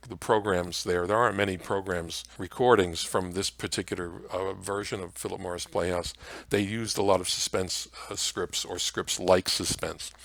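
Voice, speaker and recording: A man with an American accent presents a history talk in a low-pitched voice. He explains things informally and anecdotally, with halting phrasing. The sound is dry, with very little room in it. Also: fairly bright tone, dry recording. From Mount Vernon area, New York, United States. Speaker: presenter, radio history lecturer. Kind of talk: informal slide lecture on old-time radio history.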